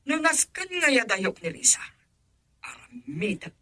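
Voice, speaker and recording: A woman's voice: a little high, very animated, a little slow, bright, forceful tone, full character voice. An actress playing a stern, status-proud middle-aged mother in an Ilocano radio drama. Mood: scornful.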